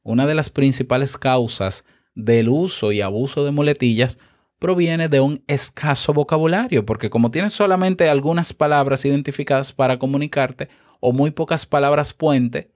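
A man's voice: medium pitch, normal speed, a little mellow. Dominican man coaching podcasters. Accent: Dominican Spanish. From Dominican Republic. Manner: coaching, addresses the listener directly with frequent "¿ya?". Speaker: podcast host. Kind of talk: instructional podcast monologue. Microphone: podcast recording app.

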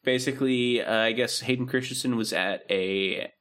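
A man's voice: mid-range voice, moderate pace, fairly bright tone. A young man co-hosting a podcast, chatting in a laid-back, rambling way with plenty of ums. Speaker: podcast co-host. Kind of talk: casual chat.

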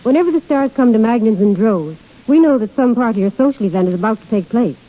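A woman's voice: on the high side, animated, quick pace, phone-quality sound. A woman guest on a 1930s radio show, formally reading a scripted talk and product endorsement. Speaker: shop hostess, guest giving a product endorsement. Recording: band-limited 1930s radio recording.

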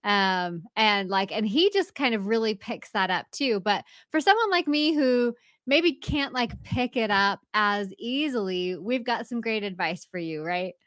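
A woman from the United States hosting a casual interview, friendly and quick to laugh. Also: mid-range voice, lively intonation, fairly bright tone, dry recording. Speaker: host.

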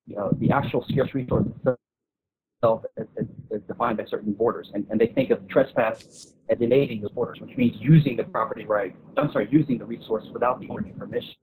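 A man's voice: mid-range voice, a little mellow, husky. A man arguing points informally in an intellectual discussion as a guest, often repeating words as he builds his case. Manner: halting, repeats words while building arguments. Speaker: guest speaker on a discussion show. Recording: muffled narrow phone-line sound.

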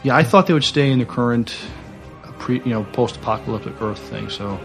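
A man co-hosting a podcast, speaking casually and haltingly, often repeating words as he goes. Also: medium pitch, gravelly, music in the background. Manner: halting, repeats words while searching for phrasing. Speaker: podcast co-host. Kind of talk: casual chat, reading notes aloud.

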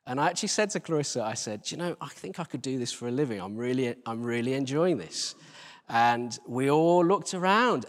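A middle-aged man preaching through personal anecdote, in a conversational, self-deprecating way, recorded live in a church hall. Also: medium pitch. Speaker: church team leader preaching. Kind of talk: anecdotal sermon. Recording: reverberant hall.

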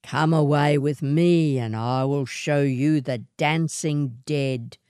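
A woman voicing a scruffy male wanderer and suitor in a storybook, boastful and coaxing, with measured delivery. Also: sits low, dark tone, smooth, no echo, studio quality. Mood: mysterious. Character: scruffy swagman suitor, boastful, unhurried, persistent.

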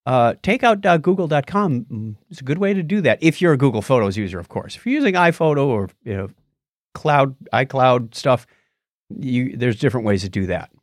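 A man with a mid-pitched voice that swings up and down in lively fashion as he talks. He is a podcast host chatting casually about tech, recorded close and dry. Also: normal speed, no echo.

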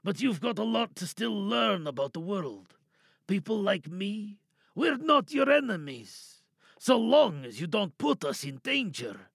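A man playing a cynical, wary captive scientist in an audio drama monologue, sardonic and probing, bargaining with quiet menace. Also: sits high, big pitch swings, measured pace, gravelly, breathy edge, no echo, clean studio sound, exaggerated voice. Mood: tense, bargaining. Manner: sardonic, probing, rhetorical questions.

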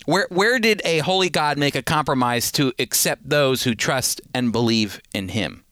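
Earnest, bright-voiced male radio Bible teacher. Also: medium pitch, expressive, moderate pace, no echo, studio quality. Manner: earnest conversational preaching with fillers.

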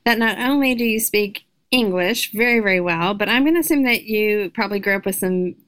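A woman's voice: medium pitch, a little fast, fairly bright tone. A woman co-hosting an interview over a video call, asking friendly conversational questions. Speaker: co-host.

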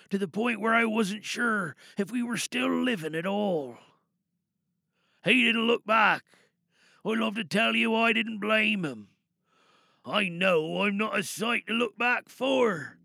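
A man playing a sad, bitter war veteran in an audio drama monologue, wistful and halting as he confesses his grief. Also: sits high, vocal fry, bright, forceful tone, no room sound, studio quality, full character voice. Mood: wistful, resentful.

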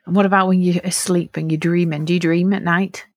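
A woman's voice: lowish voice, level tone, a little fast, dry recording. A middle-aged woman hosting a podcast, patiently asking a child simple, encouraging follow-up questions. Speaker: podcast host interviewing a child. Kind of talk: friendly interview questions.

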